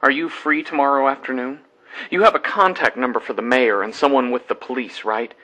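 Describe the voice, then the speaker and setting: A man's voice: mid-range voice, fairly even intonation, brisk, sharp tone, low-quality recording. An actor playing an earnest male scientist leaving hesitant, apologetic, matter-of-fact voicemail messages in a fiction podcast. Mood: distracted, businesslike. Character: earnest scientist man phoning in urgent, puzzled voicemails.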